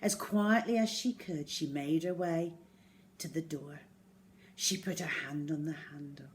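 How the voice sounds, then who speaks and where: A woman reading a children's story aloud in an expressive way, acting out the words as she goes. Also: sits low, measured pace, slight background noise. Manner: expressive, acts out dialogue and sound words.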